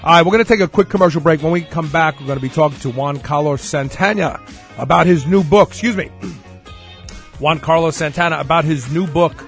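A man's voice: a little high, brisk, soft background music. A man in his fifties hosting a radio talk show, chatting energetically and proudly about getting older. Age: 50s. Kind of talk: casual radio show chat.

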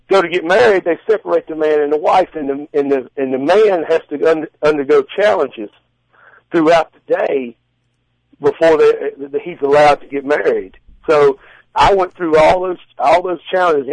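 A man in his forties speaking as an interview guest, telling stories casually in halting, folksy phrasing. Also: fairly high pitch, darkish tone, no room sound, rough recording. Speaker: guest, strongman competitor and contest judge. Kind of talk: casual interview answers and storytelling.

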